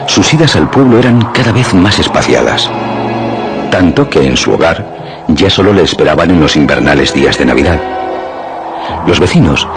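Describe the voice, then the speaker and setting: A man with a Castilian Spanish accent narrating a radio suspense drama in measured, literary storytelling. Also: very deep voice. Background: music.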